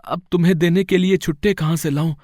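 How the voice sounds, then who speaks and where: A man's voice: a little high, steady tone, fast, darkish tone, no echo, studio quality, natural voice. A man playing a poor, humble fruit seller in a moral story, speaking in an emotional, pleading way. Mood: humble, gentle. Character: poor, despairing young male fruit seller, humble and devoted. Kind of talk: dramatised moral story acting.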